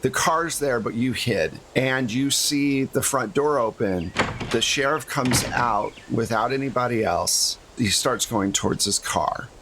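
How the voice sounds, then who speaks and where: A man's voice: mid-range voice, a little slow, background noise. A man narrating a tabletop roleplaying game as game master, in a casual, conversational style.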